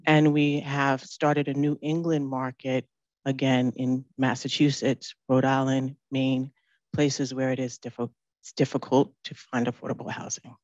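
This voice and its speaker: A woman's voice: rumbling low, level tone, a little slow, darkish tone, dry recording, dull audio. A woman speaking on a panel as a company chief, composed and fluent. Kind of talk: panel talk. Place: conference room.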